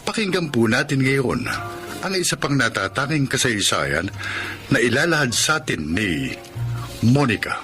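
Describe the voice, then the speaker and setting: A man's voice: medium pitch, sing-song, moderate pace. A man with a Filipino accent delivering scripted narration as a radio drama host. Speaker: host and announcer. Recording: loud music under the voice.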